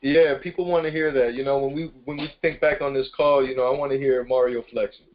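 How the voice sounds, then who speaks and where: A man's voice: mid-range voice, fairly even intonation, fast. A man hosting a casual motivational group call, speaking informally and hyping up his listeners directly. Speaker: conference call host. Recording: muffled lo-fi conference call.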